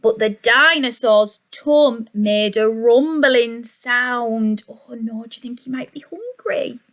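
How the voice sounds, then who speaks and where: Female storyteller for young children: high pitch, unhurried delivery, expressive and sing-song, teacherly asides. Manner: expressive, deliberate, sing-song, with gasps and questions to listeners. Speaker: teacher reading a picture book to young children. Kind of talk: animated children's story reading with chatty asides.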